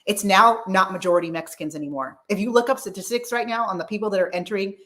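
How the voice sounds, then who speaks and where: A woman's voice: mid-range voice, quick pace, a bit bright. Emphatic millennial woman from California. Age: 30s. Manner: rambling and emphatic, with frequent restarts. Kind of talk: casual political chat.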